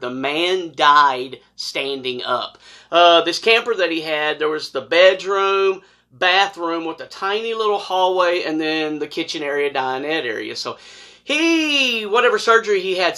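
A middle-aged man with an American accent telling a personal story casually, in a folksy, animated way and acting out dialogue. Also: a little high, unhurried. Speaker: storyteller, former law enforcement officer. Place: small wood-paneled room indoors, seated on a couch.

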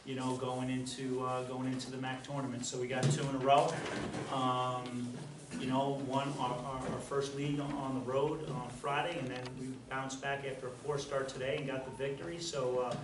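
Halting middle-aged coach in a roomy hall. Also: male voice, medium pitch, level tone. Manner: halting, many fillers and repeated words. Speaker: head basketball coach. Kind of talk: post-game press conference answers. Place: press conference room. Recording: roomy, distant, faint noise.

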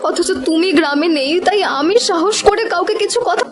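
A woman's voice: very high-pitched, normal speed. A woman voicing an emotional, determined village wife in a melodramatic cartoon. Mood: anxious, relieved.

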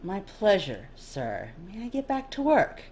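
A woman's voice: medium pitch, huge pitch swings, unhurried, darkish tone. A woman voicing a guarded female linguist in a story, clipped and politely dry, feigning meekness. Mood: cool, controlled.

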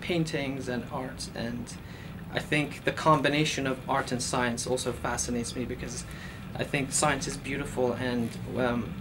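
Man, 30s, from Iran, earnest, hesitant fillers, lapel mic, lab office, steady room hum. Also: mid-range voice, unhurried, few pauses. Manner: earnest, thoughtful, frequent fillers and restarts. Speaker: postdoctoral researcher. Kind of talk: documentary interview. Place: office cubicle in a research lab.

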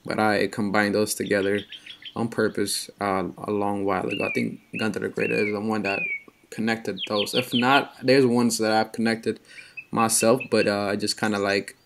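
A young man in casual voice-over, explaining his hair care in a relaxed, rambling way with many pauses. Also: on the low side, creaky, background noise.

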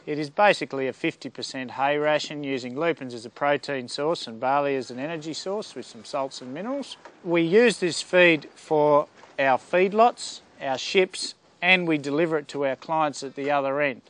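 A man's voice: fairly high pitch, normal speed, fairly bright tone. A man explaining feedlot work in a businesslike way. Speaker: feedlot and feed mill manager. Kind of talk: interview explanation.

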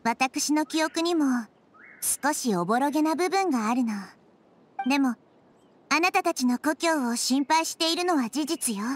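A woman voicing a gentle, wise little girl deity in an anime game, speaking softly and with composure. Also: high voice, expressive. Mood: caring, apologetic. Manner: soft, composed, feminine phrasing with measured delivery. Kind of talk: anime game dialogue acting.

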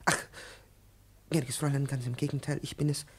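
An actor in a 1950s radio play playing a fussy, excitable aging professor, over-polite and halting, then imperious. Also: male voice, medium pitch, breathy edge, lightly acted. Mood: fawning, fussy. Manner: obsequious and halting, turning imperious. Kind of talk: 1950s German-language radio play acting.

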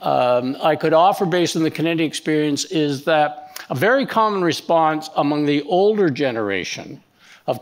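An older man on a conference panel, speaking in a measured, lecturing way into a headset mic. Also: fairly high pitch. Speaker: panelist, professor. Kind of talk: panel discussion. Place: conference stage. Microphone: headset microphone.

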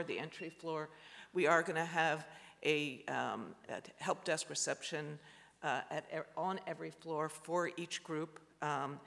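A man with a fairly high, bright and gravelly voice presents at a panel, halting and full of fillers and restarts. He sounds like he's in a large auditorium with a long reverb tail. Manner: hesitant, many fillers and restarts. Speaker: architect. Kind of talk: panel talk presenting slides.

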